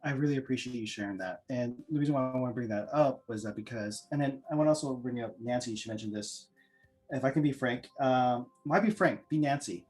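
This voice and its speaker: A man's voice: mid-range voice, brisk, a little mellow, slightly rough, faint music behind, rough recording. A man leading an orientation discussion, enthusiastic and encouraging, often repeating himself. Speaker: orientation facilitator. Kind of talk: casual group discussion.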